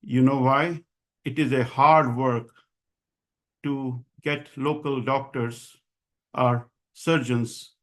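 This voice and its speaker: A man speaking as a physician and association leader, delivering a formal advocacy speech in an earnest, insistent, emphatic way. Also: medium pitch. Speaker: physician and association president giving a public address.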